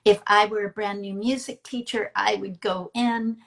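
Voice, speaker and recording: A woman's voice: medium pitch, fairly even intonation, normal speed, vocal fry, fairly bright tone. A woman hosting a live online interview in a conversational style, speaking as a storyteller and speaking coach.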